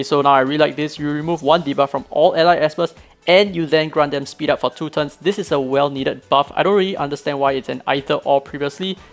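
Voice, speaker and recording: A hoarse man reading out game patch notes, then breaking in with his own eager opinions, as a gaming video creator. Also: on the high side.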